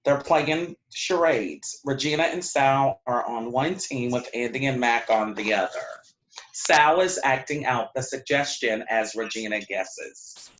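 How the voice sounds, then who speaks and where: A man's voice: medium pitch, unhurried, a bit bright, natural voice. A man as a neutral narrator, reading out stage directions at a play reading. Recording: echoey room.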